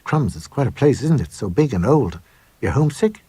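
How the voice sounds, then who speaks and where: A man's voice: medium pitch, very animated, normal speed, warm tone, husky, dry recording, pitched above the performer's own voice. A man voicing a small, nervous, chatty schoolboy in an audiobook ghost story, eager and uneasy. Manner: eager, uneasy, boyish exclamations.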